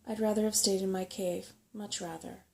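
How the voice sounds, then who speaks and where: A woman voicing a crabby, sharp-tongued female brownie from a storybook, complaining and scornful. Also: mid-range voice, fairly even intonation, moderate pace, gravelly, natural voice. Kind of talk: storybook character voice in a soft-spoken reading.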